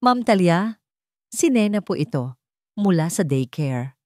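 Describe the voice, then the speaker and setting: A woman's voice: fairly low pitch, very animated, unhurried, darkish tone, no room sound, studio quality. A woman voicing a worried, respectful daycare worker with urgent news in a dramatic audio story. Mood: worried, hesitant.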